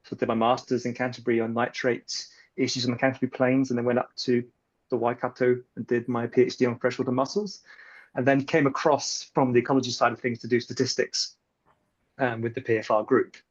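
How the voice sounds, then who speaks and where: A man with a New Zealand accent introduces himself in a relaxed way, with loose, rambling phrasing. His voice is mid-pitched. The sound is muffled and lo-fi, like a video call. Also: brisk. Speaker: guest speaker, statistical scientist. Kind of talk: webinar self-introduction. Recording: dull lo-fi call audio.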